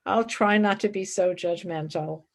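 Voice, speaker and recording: A woman acting in a radio play as an idealistic, exacting young philosophy student, earnest and expressive. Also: lowish voice, measured pace, vocal fry. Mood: reproving.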